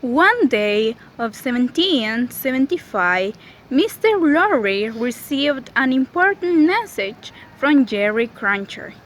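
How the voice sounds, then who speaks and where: A woman's voice: high-pitched, sing-song, a bit bright, a little hiss or hum, heavily acted, rough recording. A woman playing a tender young daughter who tells her own story in a scripted amateur dramatization of a classic novel. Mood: measured, recounting. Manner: slow, deliberate reading when narrating.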